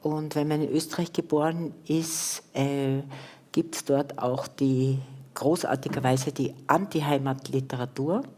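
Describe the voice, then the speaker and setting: Woman from Austria, extremely low voice, measured and hesitant delivery. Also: fairly even intonation, darkish tone. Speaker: panel guest. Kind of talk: panel discussion.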